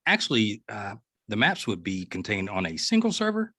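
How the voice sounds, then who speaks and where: An actor playing an edgy, rough henchman in a sci-fi gang during a table read. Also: medium pitch, wildly swooping pitch, moderate pace, a bit bright, no echo, clean studio sound. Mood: correcting.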